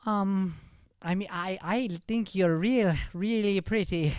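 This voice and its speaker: A man's voice: sits high, takes it slowly, a little mellow, clear, resonant tone, no echo, studio quality. A man playing a shy, smitten fourteen-year-old Irish schoolboy in an improvised radio comedy, stammering and flustered. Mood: bashful, earnest.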